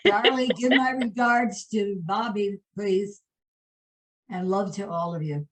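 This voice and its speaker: A woman's voice: medium pitch, takes it slowly, rough recording. A woman talking casually as she takes part in a spiritual discussion group.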